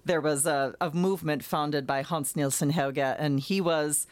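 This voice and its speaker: A woman explaining history in a measured, knowledgeable way on a call-in radio show. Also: low-pitched, fairly even intonation, no room sound. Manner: knowledgeable, measured, self-qualifying.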